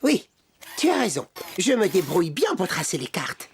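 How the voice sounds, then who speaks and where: A woman's voice: on the low side, very animated, moderate pace, a little hiss or hum. A woman voicing an eager, confident friend of a puppet hero in a French children's cartoon, speaking with enthusiasm.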